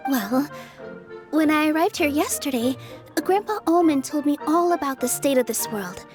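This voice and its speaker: A woman playing a gentle, earnest young dancer turned forest fairy in a video game, speaking politely. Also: high voice, animated, normal speed. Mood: earnest, explaining. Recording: music playing behind the voice.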